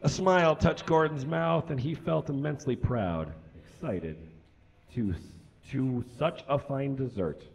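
A man's voice: medium pitch, unhurried, vocal fry, a little mellow, highs cut off. A young man reading a story aloud on a live stage in a theatrical, exaggerated comic style. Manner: dramatic, exaggerated delivery. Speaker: live comedy reader. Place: small indoor stage with curtains and brick.

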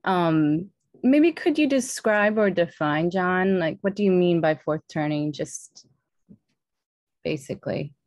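A woman's voice: lowish voice, animated, slow pace, a little mellow, no echo, studio quality. A middle-aged woman on a video call, asking questions casually as an interviewer. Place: room with open wooden doors. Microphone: video call, close to the computer.

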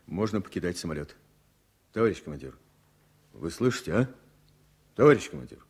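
An actor playing a loyal, concerned flight engineer, respectful and urging, with a husky voice in a Soviet radio play. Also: male voice, low voice, sing-song, fairly quick, heavily acted.

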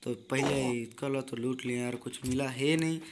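A hoarse young man gives rowdy, joking, trash-talking commentary over his own gameplay, recorded without a proper mic. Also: mid-range voice, steady tone, moderate pace, noisy background. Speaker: gaming YouTuber. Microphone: built-in device mic, no external mic.

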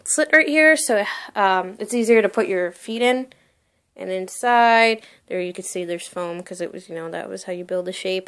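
A woman's voice: mid-range voice, clean tone. A young woman showing off a costume for sale in her bedroom, talking casually and gushing with lots of "like" and "really, really". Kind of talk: casual show-and-tell vlog. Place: bedroom, indoors.

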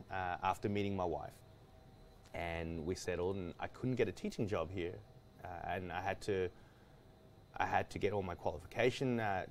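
Guy, low voice, rambly with lots of ums, faint hum behind him. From Australia. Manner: rambling, many restarts and fillers. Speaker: guest chef. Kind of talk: casual studio interview. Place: studio kitchen set.

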